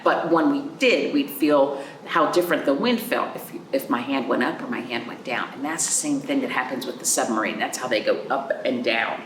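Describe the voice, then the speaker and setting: A woman hosting a children's storytime, reading aloud and chatting in a sing-song, animated way, addressing young listeners directly. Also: very deep voice. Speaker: library storytime host. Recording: roomy, distant mic, faint background noise.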